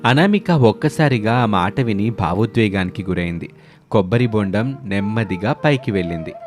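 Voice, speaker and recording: A man narrating a scripted animated story as its storyteller, with music under his voice. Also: on the low side, moderate pace.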